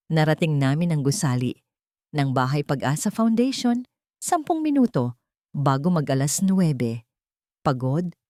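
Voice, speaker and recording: A low-voiced woman with a Filipino accent reads a dramatic first-person story. Her pitch moves widely from phrase to phrase, and the recording is close and dry. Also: darkish tone, no echo. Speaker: audiobook narrator.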